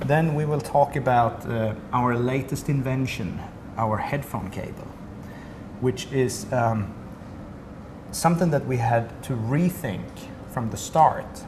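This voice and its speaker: Swedish-accented man, company rep, mid-pitched, detailed friendly explainer, noisy room. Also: slow pace. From Sweden. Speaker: company representative. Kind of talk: product demo interview.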